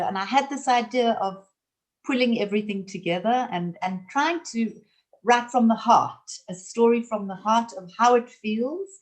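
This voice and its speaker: Earnest woman author. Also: mid-range voice. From South Africa. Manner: earnest, emphatic, speeds up and slows down. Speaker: author and guest. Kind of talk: conversational interview.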